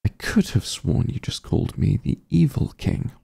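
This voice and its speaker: A man playing an arrogant, menacing sorcerer king in an ASMR roleplay, pompous and condescending, with deliberate formal diction. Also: mid-range voice, big pitch swings, vocal fry, mellow, breathy edge, exaggerated voice. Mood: offended, imperious.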